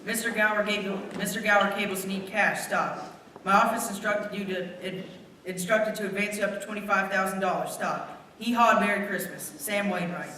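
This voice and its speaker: A man's voice: sits high, fairly even intonation, moderate pace, pushed and bright, a little hiss or hum. A male performer playing hearty, proud townsfolk in a school stage radio play. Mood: commanding. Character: hearty, proud younger brother and announcer of glad news. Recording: distant echoey hall, music behind.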